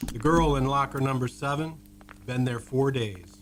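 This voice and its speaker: A world-weary male morgue attendant, voiced by a man, in an old-time radio drama. He speaks plainly and gruffly in a mid-range voice. Death is routine work to him. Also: mid-range voice, moderate pace, background noise, close to an everyday voice. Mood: grim.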